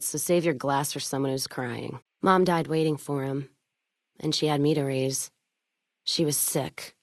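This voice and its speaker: A woman's voice: deep voice, no echo, studio quality. A woman playing a tough, hard-drinking caravan trader in a video game, blunt and wry, with folksy, clipped talk. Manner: plain-spoken, folksy drawl of clipped sentences, swearing. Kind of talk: video game character voice acting.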